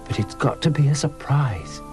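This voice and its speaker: A man reading a children's story aloud, voicing a mother in a hushed, slightly hesitant way. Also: medium pitch, expressive, normal speed, faint music behind, voice pushed up, lightly acted. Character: busy mother planning a secret.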